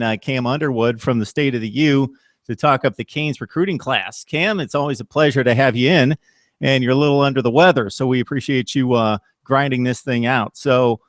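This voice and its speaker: Male sports show host, mid pitch, dry recording, quick and conversational. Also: no room sound. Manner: brisk, conversational, with fillers.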